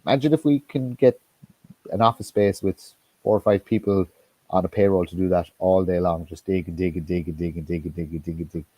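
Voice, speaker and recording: Man, very low and husky, dry close sound, stop-start blunt talker. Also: mellow, no room sound, rough recording. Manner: halting, many restarts and ums, blunt with swearing. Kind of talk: casual political discussion.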